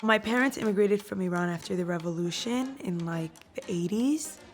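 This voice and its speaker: A woman's voice: medium pitch, normal speed, soft background music. A young woman, a first-generation college student, speaking casually in a documentary interview with many "like" fillers. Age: young adult.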